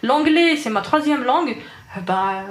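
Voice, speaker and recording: A woman's voice: sits high, expressive, unhurried, echoey room. A woman in her twenties from Algeria, Arabic her first language, speaking French with an Algerian accent, earnestly reflecting on her experience in an interview. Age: 20s. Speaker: interviewee, engineer. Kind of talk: personal testimony in an interview.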